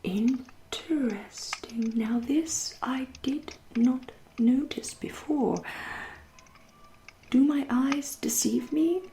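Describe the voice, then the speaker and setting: A woman playing a centuries-old vampire in an ASMR roleplay, with an English accent, a soft breathy half-whisper and a genteel, teasing, politely menacing manner. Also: fairly high pitch, a little hiss or hum, exaggerated voice, poor audio. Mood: amused disbelief. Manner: slow, deliberate, politely menacing.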